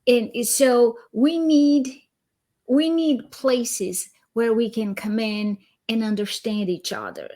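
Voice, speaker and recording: Slow-speaking Brazilian-accented woman. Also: fairly high pitch, expressive, mellow. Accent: Brazilian Portuguese. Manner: thoughtful, repeats words while searching. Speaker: guest, consultant. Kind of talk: interview conversation.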